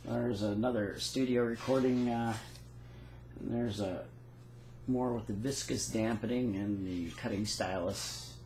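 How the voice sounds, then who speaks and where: A man casually showing his notes and reading out dates and patent text, with many pauses. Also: lowish voice, fairly even intonation, darkish tone, echoey room, slight background noise, poor audio. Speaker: phonograph cylinder maker. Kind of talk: casual show-and-tell walkthrough.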